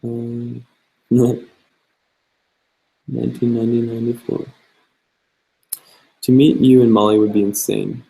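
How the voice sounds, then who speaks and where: A young man, a travel vlogger, chatting casually and answering viewer questions in a relaxed, rambling way. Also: medium pitch, slow, creaky, pauses often, slightly rough, poor audio. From Canada. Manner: relaxed and chatty, many fillers, reads questions aloud.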